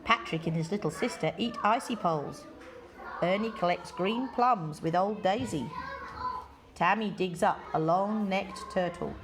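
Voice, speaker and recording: A woman reading a children's picture book aloud in deliberate, measured phrases with expressive emphasis. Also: mid-range voice, noisy background. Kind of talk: read-aloud children's story.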